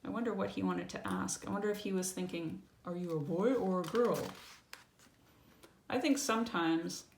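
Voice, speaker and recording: A woman's voice: fairly low pitch, moderate pace. A woman reading a picture book aloud to a child, breaking off for casual explanatory asides and checking in with small questions. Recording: roomy echo, faint background noise.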